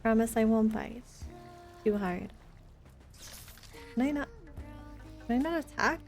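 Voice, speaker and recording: High-voiced woman streamer with a creaky edge. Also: lively intonation, measured pace, darkish tone, soft background music. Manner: chatty, reading and answering viewer comments. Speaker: video game streamer. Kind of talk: casual live stream chat while playing. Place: indoors, live game stream with music behind.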